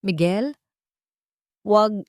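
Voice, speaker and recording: Woman acting as betrayed wife, anguished, pleading, raised pitch, story drama, dry close sound. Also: extremely slow, no room sound. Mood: pleading, tearful. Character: betrayed, tearful young wife and overseas worker.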